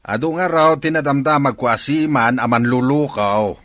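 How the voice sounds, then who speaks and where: A man's voice: medium pitch, moderate pace, fairly bright tone, no room sound, studio quality, close to an everyday voice. An actor playing a stubborn, distrustful middle-aged father in radio drama style, with a Filipino Ilocano accent.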